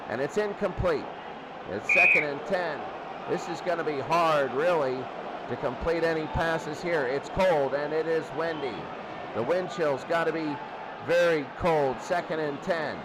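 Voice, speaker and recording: A man doing live play-by-play football commentary as a radio announcer, restating the action in short bursts. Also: on the high side. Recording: very noisy, loud stadium crowd bed. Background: crowd roar.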